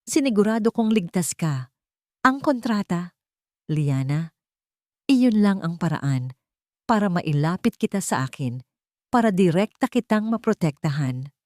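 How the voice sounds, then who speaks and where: A woman voicing an earnest young male tycoon, soft-spoken, regretful and protective as he confesses. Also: on the low side, animated, unhurried, long pauses, no room sound, clean studio sound.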